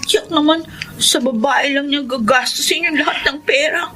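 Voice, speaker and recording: A woman's voice: high-pitched, moderate pace, sharp tone, faint music behind, low-quality recording. A woman playing an anxious, indignant younger daughter, plaintive and pleading in a Filipino radio drama. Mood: resentful.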